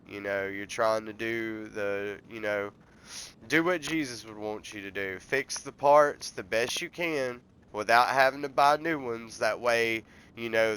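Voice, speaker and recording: Stuffy-nosed guy hosting a game walkthrough; bright, smooth voice, rambling and friendly. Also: mid-range voice, low-quality recording. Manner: folksy, rambling, explaining step by step. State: congested from a cold. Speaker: gameplay tutorial host.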